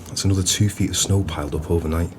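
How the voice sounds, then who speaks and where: An actor playing a grumbling, florid-tongued pub landlord in an audio play, with a theatrical manner. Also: male voice, low-pitched, steady tone, fast talker, noisy background, close to an everyday voice.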